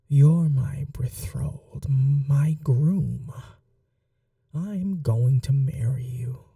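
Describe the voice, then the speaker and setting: A man playing a possessive, tender yet cruel ageless vampire lover in an intimate ASMR roleplay, soft and breathy, often slipping into whispers, slow and coaxing. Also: medium pitch, dry recording, studio quality, far from the mic. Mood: possessive devotion.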